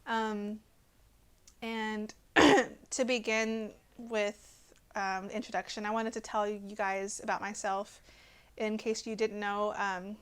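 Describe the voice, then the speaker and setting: A woman's voice: mid-range voice, level tone, slow, clean tone. A woman in her twenties with an American accent, giving a personal testimony to camera in an earnest, hesitant way. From Texas, USA. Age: 20s.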